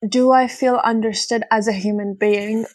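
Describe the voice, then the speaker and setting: A woman whose first language is Arabic, speaking earnestly as an interview guest, sometimes pausing to search for words. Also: on the high side, steady tone, darkish tone, no room sound. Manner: earnest, searching for words, laughs between thoughts. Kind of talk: conversational interview.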